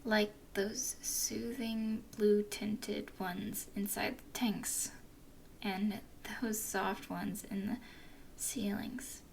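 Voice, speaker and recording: Flirty werewolf gal, woman voicing her, slow whispery asmr, a bit gravelly. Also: medium pitch, level tone, slight background noise. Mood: teasing. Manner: teasing, soft whispering. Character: flirty playful female werewolf guide. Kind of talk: ASMR roleplay.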